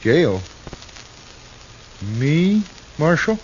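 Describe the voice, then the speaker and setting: An actor playing a meek, simple-hearted rancher in a 1950s radio western, speaking hesitantly and haltingly. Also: male voice, on the high side, very animated, muffled recording, full character voice. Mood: frightened, pleading. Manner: hesitant, pleading, stammering. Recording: faintly noisy old radio recording.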